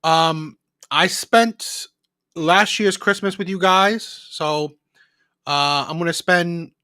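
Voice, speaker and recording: High-voiced man chatting on a livestream. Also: slow pace, pushed and bright, smooth, dry recording, clean studio sound. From Philippines. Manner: rambling, repetitive, often adding "right?" as a tag. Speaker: livestream host of a call-in show. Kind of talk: casual unscripted chat to live viewers.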